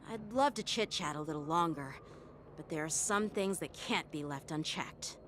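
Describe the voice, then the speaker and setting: A woman's voice: sits low, normal speed, fairly bright tone, a little hiss or hum. A woman playing a polite, earnest young officer in a video game. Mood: earnest, casual.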